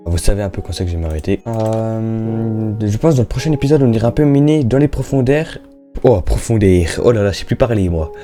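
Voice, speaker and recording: A young man giving casual, slangy Minecraft let's-play commentary, chatting and thinking aloud as he plays. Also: fairly low pitch. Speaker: gaming YouTuber.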